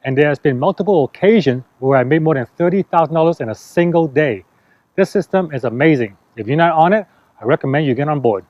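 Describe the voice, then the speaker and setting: A man's voice: on the high side, lively intonation, quick pace, no echo. A man from Canada giving a client testimonial for a sales video. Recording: dull, close, lo-fi sound.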